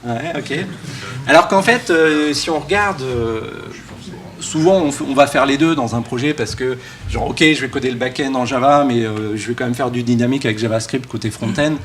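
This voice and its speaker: A man speaks informally as a conference keynote speaker, joking and halting with many false starts. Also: mid-range voice. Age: early 40s. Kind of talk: casual, joking conference talk. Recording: live talk with background room noise.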